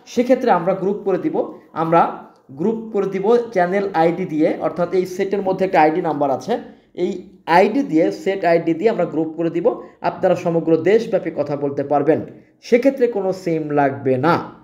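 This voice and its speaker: A man with a Bangladeshi accent presenting products in an informal sales pitch, often repeating and restating his phrases. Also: normal speed, dark tone. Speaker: shop presenter selling products.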